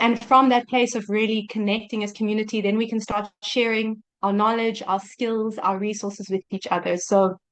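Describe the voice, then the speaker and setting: Woman from South Africa, mid pitch, earnest and reflective, conference co-host, video call mic. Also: poor audio. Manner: earnest, reflective, with many restarts. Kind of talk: online conference talk. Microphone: computer mic on a video call.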